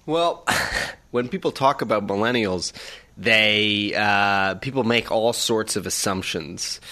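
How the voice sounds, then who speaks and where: A man's voice: lowish voice, slow pace, pushed and bright. A young man from Nova Scotia hosting a podcast, introducing guests and asking questions in a friendly way with frequent stammered restarts. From Nova Scotia, Canada. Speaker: podcast host. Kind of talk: podcast interview.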